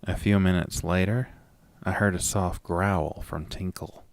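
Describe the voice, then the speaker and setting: A man reading a scripted first-person horror story in a dramatic, deliberate storytelling manner. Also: low-pitched, steady tone, gravelly, no room sound. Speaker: narrator and lead of a horror audio story.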